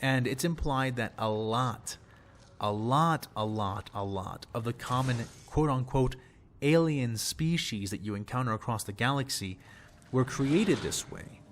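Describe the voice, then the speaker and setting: A young man, slightly hoarse, explaining fictional lore in a rambling, casual way and repeating words for emphasis. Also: medium pitch, lively intonation, unhurried, slight background noise. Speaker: channel host.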